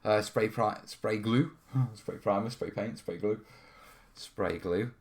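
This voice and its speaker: A man's voice: fairly low pitch, takes it slowly, a little mellow. A man from North Wales hosting a live hobby stream, chatting casually and answering questions in an informal, rambling way. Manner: informal, rambling, often checking in with "yeah" and "you know". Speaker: host of a terrain-modelling hobby stream. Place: studio.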